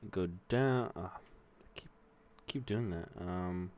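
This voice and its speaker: Slow-talking profane young man. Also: deep voice, darkish tone, highs cut off, slight background noise. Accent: Mexican-American. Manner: profane, rambling, thinks aloud while typing. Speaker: streamer learning to code. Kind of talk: casual coding stream commentary.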